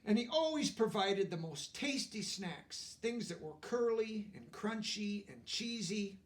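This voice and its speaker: High-voiced man reading aloud, slightly distant. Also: a little slow. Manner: animated, explains words and pictures to young listeners. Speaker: storyteller reading a picture book aloud. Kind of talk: expressive read-aloud narration with asides.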